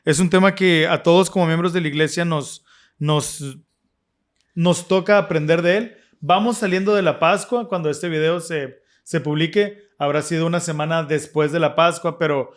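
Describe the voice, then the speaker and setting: A man hosts a scripture discussion in an earnest, reflective way. His voice sits a little higher than most men's. He often pauses on fillers and restarts his sentences. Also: fairly even intonation. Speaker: podcast host.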